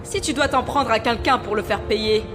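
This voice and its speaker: A woman's voice: fairly high pitch, normal speed, close to an everyday voice. A woman playing a bold, frank gang leader with a commanding manner in an audio adventure drama. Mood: firm, frank. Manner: commanding, assertive. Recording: loud music behind the voice.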